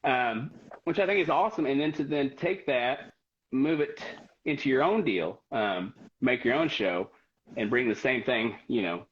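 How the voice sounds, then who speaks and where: A man in his forties chatting casually in a halting, hedging way. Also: medium pitch, animated, dull audio. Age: 40s. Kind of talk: casual chat.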